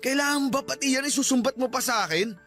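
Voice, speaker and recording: A man's voice: very high-pitched, fast, fairly bright tone, faint music behind. A man playing a working husband and young father in a radio drama, with an emotive, insistent delivery. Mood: defensive, irritated. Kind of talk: Tagalog radio drama acting.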